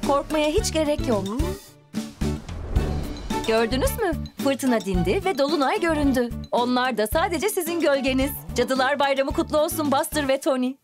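High, animated woman narrator for children. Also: moderate pace. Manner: encouraging, exclamatory, talking to the characters. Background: music.

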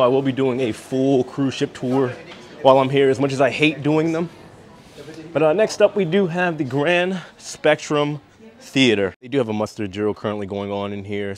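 A man in his thirties casually narrating a travel vlog to viewers, recorded at arm's length while walking around. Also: medium pitch. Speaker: travel vlogger. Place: cruise ship interiors and open decks. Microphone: handheld phone or camera at arm's length.

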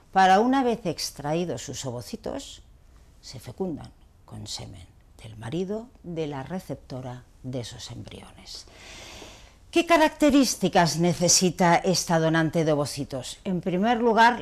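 A woman's voice: on the low side, a little mellow. A middle-aged woman talks to camera as a doctor. She explains step by step, leaning on key words and posing questions that she then answers. She is recorded in a small clinic room, a few steps the camera. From Barcelona, Spain. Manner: didactic, emphatic, rhetorical questions. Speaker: gynecologist, video blogger.

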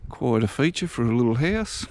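A man giving a relaxed, casual walking tour outdoors, thinking aloud as he goes. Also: medium pitch, brisk, a little hiss or hum. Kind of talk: casual walk-and-talk narration. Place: outdoors walking through a small country town.

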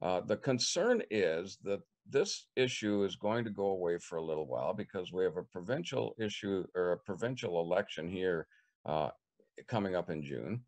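An older man with a Canadian accent, answering interview questions on a video call from a living room, measured and repeating words as he thinks. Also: deep voice, animated, a little mellow. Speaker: political candidate. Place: living room with leather couch. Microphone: webcam on video call.

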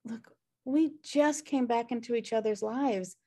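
A woman's voice: on the high side, measured pace, dark tone. A woman playing a messy, guilt-ridden, wry sister in a sitcom table read, rambling hesitantly. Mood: pleading, guilty. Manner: rambling, hesitant, self-deprecating. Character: messy, guilt-ridden, wry younger-at-heart woman pleading for her brother. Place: home room on a video call.

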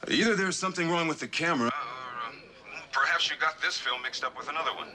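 An actor playing an apologetic shop clerk in a mid-century suspense drama, polite and hesitant. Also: male voice, fairly high pitch, quick pace, a crowd in the background, close to an everyday voice, rough recording.

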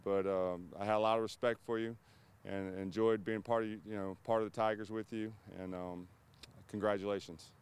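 A man in his forties recording a relaxed congratulations message outdoors in a park with a handheld mic. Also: lowish voice, clean tone. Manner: relaxed, unhurried, a few ums. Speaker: former player sending a video message.

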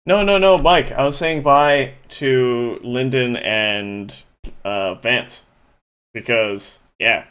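A man's voice: medium pitch, slow pace, a bit bright, clean tone. Male streamer, 30s, headset mic, jokey banter, mid-range voice. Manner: joking, teasing banter with friends. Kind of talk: casual gaming stream chat. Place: small indoor room at a desk.

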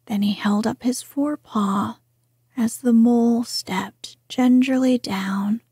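A woman reads a classic storybook in a hushed, very breathy whisper. Her pace is very slow and measured, and her tone is dark and mellow. The sound is close and dry. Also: on the high side, no room sound. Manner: soft whisper, gentle and measured.

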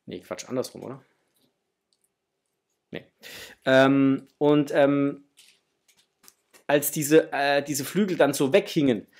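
A middle-aged man with a slightly husky voice, chatting casually and enthusiastically to viewers as the host of an unboxing video. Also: on the high side, a little mellow. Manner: enthusiastic, effusive. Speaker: host of a toy collecting channel. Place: small room at home with framed posters, indoors.

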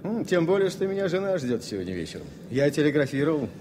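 High-pitched, impatient flight crewman. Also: sing-song, quick pace, darkish tone, a little hiss or hum. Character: impatient, homesick airline crewman. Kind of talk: 1970 radio play.

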